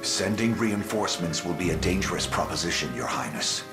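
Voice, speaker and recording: A man's voice: low-pitched, lively intonation, a little fast, music in the background. A man playing a cautious, grave knightly adviser in a video game, with formal, courtly speech. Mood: measured, grave. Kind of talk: video game cutscene voice acting.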